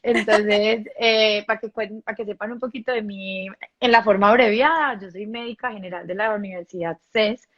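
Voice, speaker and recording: A woman's voice: medium pitch, fairly even intonation, normal speed, few pauses, highs cut off. A woman from Colombia with a paisa accent and a slight Mexican touch, a sexologist explaining her work at length as a guest in an online live interview.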